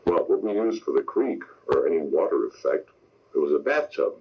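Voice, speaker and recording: An older man telling an anecdote casually in an interview, halting and plain-spoken. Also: a bass voice, big pitch swings, breathy edge. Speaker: veteran radio sound effects man being interviewed. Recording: slightly muffled radio tape.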